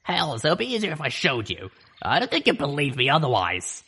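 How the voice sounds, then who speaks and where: A man's voice: on the high side, huge pitch swings, fairly quick, sharp tone, slightly rough, pitched above the performer's own voice, heavily acted. Man doing a drawling griffin mercenary woman. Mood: blunt. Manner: drawling, sardonic. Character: gruff tough female griffin mercenary, chain-smoker. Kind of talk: audiobook character voice.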